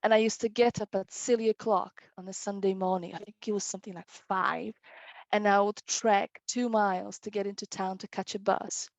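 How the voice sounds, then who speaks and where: A woman's voice: medium pitch, moderate pace, vocal fry, no room sound, low-quality recording. Woman, thirties, from Poland, native Polish speaker, eager storyteller, dry close sound. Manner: enthusiastic, animated, laughs often; self-described competitive. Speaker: interpreter, guest. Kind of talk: casual interview storytelling.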